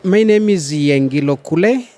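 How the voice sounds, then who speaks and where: A man's voice: a little high, expressive, moderate pace, a little mellow. A man from South Sudan with a South Sudanese accent, explaining things as an interview guest. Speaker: interview guest, microfinance chief executive.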